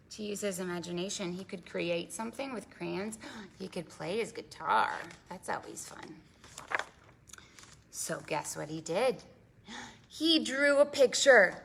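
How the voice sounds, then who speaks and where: A woman hosting a preschool storytime, reading aloud in an animated, sing-song way with dramatic emphasis and questions for the children. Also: medium pitch, creaky, breathy edge. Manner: prompts listeners with questions. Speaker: children's librarian and storytime host.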